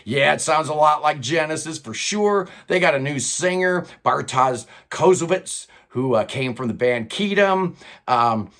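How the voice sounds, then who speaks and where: Older male host, slightly high voice, enthusiastic casual countdown patter, home studio. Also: lively intonation, a little slow, fairly bright tone. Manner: enthusiastic, loose and chatty, with fillers. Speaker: host of a prog rock channel. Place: record-filled home studio room.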